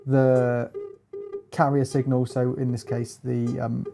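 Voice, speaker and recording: A man giving a casual hands-on demonstration as a gear reviewer, explaining in a halting, hesitant way. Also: mid-range voice, level tone, creaky, music in the background.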